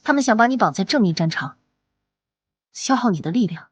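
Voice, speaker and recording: A woman voicing an earnest, worried resistance fighter in an animated comic drama, speaking expressively and with urgency. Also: mid-range voice, a little fast, natural voice. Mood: worried, urgent. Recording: faint music behind the voice. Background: music.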